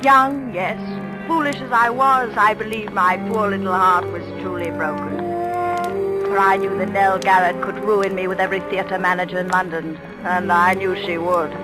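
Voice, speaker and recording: A woman's voice: medium pitch, steady tone, moderate pace, lightly acted, low-quality recording. A woman playing a proud, emotional young actress in the theatrical style of 1940s radio drama. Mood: sorrowful. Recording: vintage radio drama with music behind.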